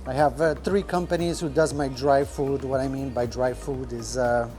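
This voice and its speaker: Man talking shop, halting. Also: mid-range voice, steady tone, darkish tone, soft background music. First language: French. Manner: rambling, many fillers, searches for words. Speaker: restaurant owner. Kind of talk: casual podcast talk. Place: restaurant kitchen.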